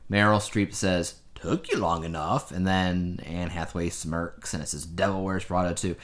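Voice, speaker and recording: A man's voice: deep voice, normal speed. A man co-hosting a podcast, chatting casually in a rambling, opinionated way about the news. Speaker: podcast co-host.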